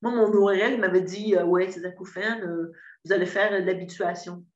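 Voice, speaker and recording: A woman's voice: lowish voice, fairly even intonation, a little fast. A woman in her fifties with a Québécois accent, giving a candid, earnest testimony with many hesitations and restarts. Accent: Québécois French. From Quebec. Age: 50s. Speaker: program client giving a testimonial. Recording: roomy echo, dull lo-fi sound.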